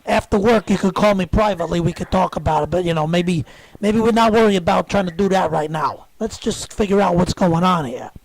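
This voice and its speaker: A man's voice: sits high, fairly quick, gravelly, hardly any pauses. A man putting on a gullible, anxious fellow who rambles hesitantly and eagerly cooperates in a prank phone call. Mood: hesitant, pleading. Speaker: prank caller. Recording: phone call recording with background noise.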